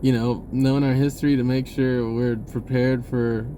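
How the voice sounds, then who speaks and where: A man talking casually in a rambling monologue, halting and pausing mid-sentence as he goes. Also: medium pitch, little pitch movement, dark tone, a little hiss or hum. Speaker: vlogger.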